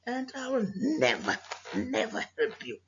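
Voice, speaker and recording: A South African woman voicing a wary storybook zebra with a husky voice and an emphatic, refusing manner. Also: medium pitch, huge pitch swings, unhurried, fairly bright tone, lo-fi sound, a little hiss or hum, full character voice. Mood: firm refusal.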